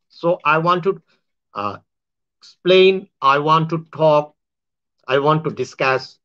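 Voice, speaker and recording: A Bangladeshi man speaks English with a Bangladeshi accent in a high, bright voice. He rambles to his viewers in a loose monologue, correcting himself often and making grand claims. The recording sounds dull and lo-fi. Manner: rambling, with many restarts and self-corrections. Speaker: online show host.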